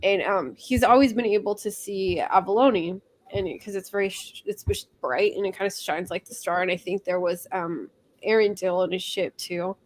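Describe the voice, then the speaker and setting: Woman, discussion host, casual conversational style, chatty, tentative, medium-pitched. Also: normal speed. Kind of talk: casual book discussion chat.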